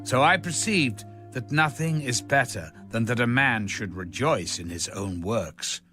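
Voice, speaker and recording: Slow, expressive man over prominent music. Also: mid-range voice. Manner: measured, dramatic reading. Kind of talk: solemn audio Bible narration. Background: background music.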